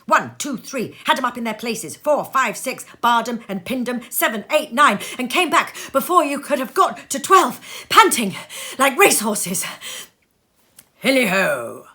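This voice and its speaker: A woman's voice: on the high side, moderate pace. A woman narrating a classic story expressively and dramatically, savouring each phrase. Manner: animated, dramatic, savours lists. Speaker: storybook reader. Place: sitting room.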